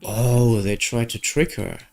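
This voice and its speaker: A man's voice: medium pitch, fairly even intonation, takes it slowly, creaky, a little mellow, soft background music. A man hosting a game playthrough, thinking aloud and questioning as he reacts. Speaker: gaming video host. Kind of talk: game playthrough commentary, reading dialogue and reacting.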